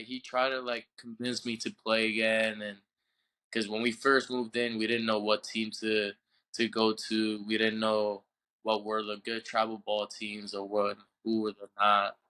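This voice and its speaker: A young man from Puerto Rico, a baseball player being interviewed on a podcast, telling his story in a halting way and often repeating words as he searches for the English. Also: on the low side, level tone, sharp tone, clean tone, poor audio. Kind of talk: casual interview.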